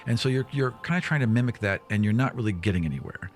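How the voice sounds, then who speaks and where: A man improvising narration as game master of a tabletop game, casual and halting, often repeating words. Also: mid-range voice, animated.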